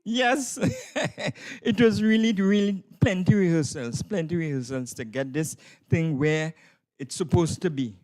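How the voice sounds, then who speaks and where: A man's voice: high-pitched, measured pace, a little mellow. A man, speaking as a drama director answering an interview. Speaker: director of a school stage production.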